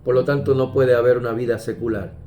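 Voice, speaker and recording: A man preaching a sermon, measured and emphatic, pausing often and quoting scripture. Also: mid-range voice, steady tone. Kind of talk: slow deliberate sermon. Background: soft guitar music.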